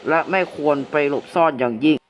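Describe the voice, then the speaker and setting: A man playing a solemn government announcer, formally reading a measured emergency warning for an analog horror broadcast. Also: on the high side, lightly acted. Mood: solemn, official. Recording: clearly noisy hissing background.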